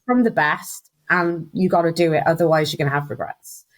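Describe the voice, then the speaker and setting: A woman's voice: low-pitched, brisk. A woman, a guest telling her career story in a chatty way and laughing often. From Wales. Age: 30s. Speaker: guest, recruitment business founder. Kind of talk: casual interview chat.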